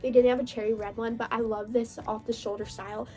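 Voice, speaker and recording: Gushing young woman with a hoarse cold voice. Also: on the high side, little pitch movement, fast, a little mellow. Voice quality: gravelly. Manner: gushing, chatty, laughs often. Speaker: book vlogger. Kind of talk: casual reading wrap-up chat.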